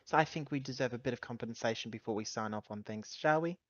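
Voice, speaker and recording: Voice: medium pitch, quick pace, dry recording, highs cut off. A tabletop game player roleplaying and chatting casually in an assertive manner. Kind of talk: in-character roleplay and casual chat.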